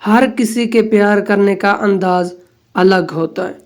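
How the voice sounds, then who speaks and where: A woman reading out scripted quotations as a narrator, in measured, deliberate phrases with pauses. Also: medium pitch. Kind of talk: scripted recitation of Urdu quotes.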